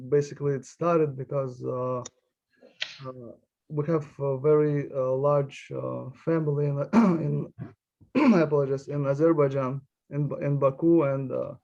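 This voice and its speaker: A man who grew up in Uzbekistan, speaking as a panelist in a halting way with many fillers. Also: a little high, mellow, muffled recording. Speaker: panelist, immigration attorney. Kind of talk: panel discussion introduction.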